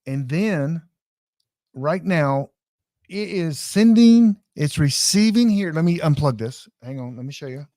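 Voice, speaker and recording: A man's voice: a little high, animated, measured pace, mellow, dry recording, studio quality. A man giving a casual, hands-on live tutorial in a folksy, informal way, speaking straight to his viewers. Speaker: online class presenter demonstrating radio gear. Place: indoors.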